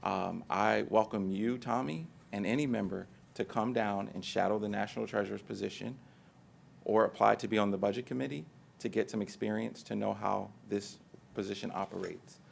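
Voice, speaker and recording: A man's voice: fairly low pitch, fairly even intonation, normal speed, creaky, a little hiss or hum. A man speaking as a union treasurer candidate in a debate, assertive and dismissive of his opponent. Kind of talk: debate statement.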